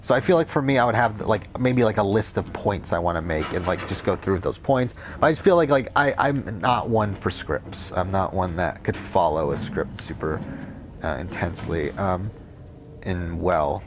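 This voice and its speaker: A young man with a cold, rambling through casual gameplay commentary, talking off the top of his head with a deep, unclear voice and frequent swearing. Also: moderate pace, background noise. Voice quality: deep, not clear. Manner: rambling, unscripted, says whatever comes to mind, swears often. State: has a cold. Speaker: Let's Play commentator.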